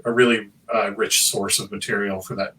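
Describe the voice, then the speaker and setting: A man's voice: lowish voice, level tone, moderate pace, bright, forceful tone, recorded from a distance, low-quality recording. A man speaking as a panelist in a casual, enthusiastic discussion, explaining things in a thoughtful, rambling way.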